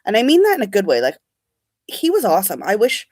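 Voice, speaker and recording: A woman's voice: medium pitch, wildly swooping pitch, quick pace, a little mellow, no room sound. Young adult woman, casual beauty vlogger, slightly sniffly, dead-dry room tone. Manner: chatty, rambling, reads and answers live comments. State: sniffly from allergies. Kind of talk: casual livestream chat.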